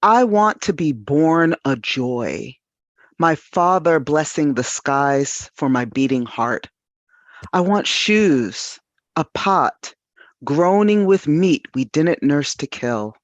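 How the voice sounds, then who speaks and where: A woman reading poetry with deliberate, incantatory phrasing, on a slightly dull recording. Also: low voice, lively intonation, no room sound. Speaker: reader at a literary event.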